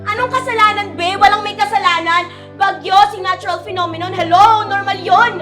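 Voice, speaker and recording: Very high-pitched bubbly young Filipina livestreamer played by a girl. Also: fairly quick. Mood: defensive. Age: teens to young adult. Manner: breezy Taglish slang, exclamatory. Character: bubbly, self-absorbed young female livestreamer, easily flustered. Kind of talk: high school stage play acting. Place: stage of a school play festival. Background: loud music.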